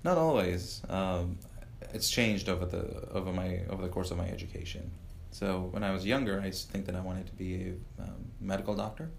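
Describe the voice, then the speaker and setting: A man in his thirties from Uganda, a professor answering interview questions in a measured way, with many "uh" fillers and restarts. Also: deep voice, vocal fry, a little hiss or hum. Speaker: professor and guest. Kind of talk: podcast interview.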